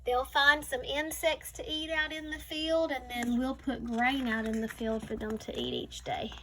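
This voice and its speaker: A woman teacher reading a picture book aloud to young students, slowly and deliberately, stretching words and adding teaching asides. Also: high voice, animated, fairly bright tone, slight background noise, low-quality recording.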